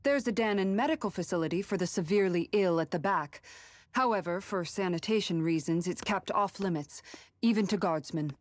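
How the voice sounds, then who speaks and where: A woman's voice: lowish voice, fairly quick, dry recording, lightly acted. An actress voicing a formal, dutiful female guard in a video game, matter-of-fact in manner. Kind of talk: video game cutscene acting.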